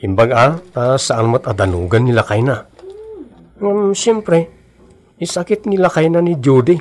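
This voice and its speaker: A man's voice: medium pitch, a little slow, a little mellow, close to an everyday voice. A man acting a gossipy, opinionated husband chatting earnestly in a radio drama.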